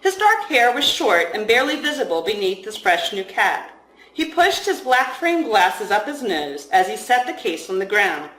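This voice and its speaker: Woman author reading her story out loud, lots of up and down, echoey room. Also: medium pitch, big pitch swings, normal speed. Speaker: author reading own novel aloud. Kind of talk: expressive first-person story narration. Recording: roomy, echoing room sound.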